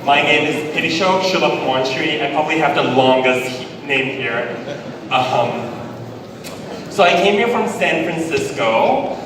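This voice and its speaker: A man from Thailand with a Thai accent, giving a public presentation in English as a conference speaker, deliberate and emphatic with repetition. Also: on the high side, lively intonation. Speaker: conference speaker, business executive. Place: large indoor event hall. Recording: very echoey hall, distant, noisy ambience.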